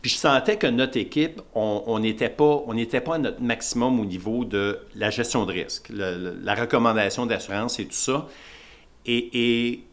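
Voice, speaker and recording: A man's voice: medium pitch, moderate pace. A man with a Québécois French accent hosting a podcast, asking rambling questions with restarts. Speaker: podcast host. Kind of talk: casual podcast interview.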